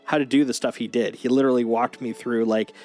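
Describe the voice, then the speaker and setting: A man's voice: mid-range voice, fairly even intonation, fast talker, dark tone. A man livestreaming a video game, chatting casually as he plays. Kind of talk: casual chat while playing. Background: game music.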